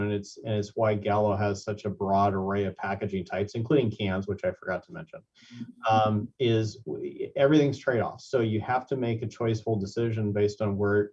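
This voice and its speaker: A man with a husky, somewhat low voice speaks as a panelist, laying out points in a measured, methodical way. The sound reaches us over a video call, dull and lo-fi. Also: a little mellow. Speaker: industry panelist. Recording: dull lo-fi audio.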